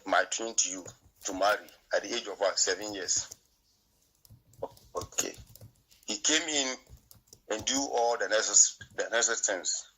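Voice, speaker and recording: A man from Ghana with a Ghanaian accent, Twi his first language, arguing his view in a heated debate, halting and repeating himself. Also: lowish voice, pushed and bright, slight background noise, rough recording. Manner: repetitive, halting, argumentative. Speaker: guest.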